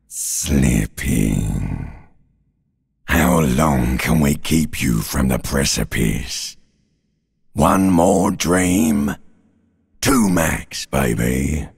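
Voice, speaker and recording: An actor playing an ancient reptilian brain in a video game, a sinister, mocking inner voice with a slow, theatrical, rasping drawl. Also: male voice, exaggerated voice. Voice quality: very deep, gravelly, rasping growl. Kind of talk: dramatic video game character monologue. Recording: long cavernous reverb.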